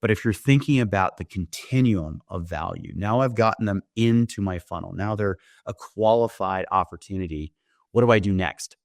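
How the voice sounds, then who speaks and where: Low voiced guy, startup founder walking thru his software, chatty pitch, says right? a lot, dry close sound. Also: normal speed, gravelly, dry recording. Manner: explanatory, often tags points with "right?". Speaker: company founder demonstrating software, interview guest. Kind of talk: conversational sales pitch explanation.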